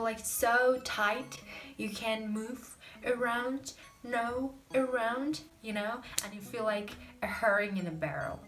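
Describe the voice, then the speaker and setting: A woman's voice: a little high, fairly bright tone, roomy sound, recorded from a distance. A young woman with a Russian accent, hosting a casual language lesson, explaining chattily with many fillers. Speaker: host.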